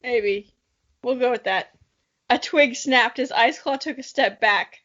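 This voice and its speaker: High-voiced, playful young woman podcaster. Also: unhurried, a bit bright, lo-fi sound. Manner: playful, joking. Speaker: podcast co-host. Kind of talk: reading a childhood story aloud, casual banter.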